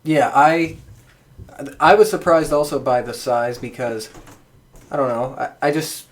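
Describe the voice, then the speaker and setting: Podcast host, mid-range pitch, casual conversational delivery. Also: measured pace. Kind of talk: casual chat.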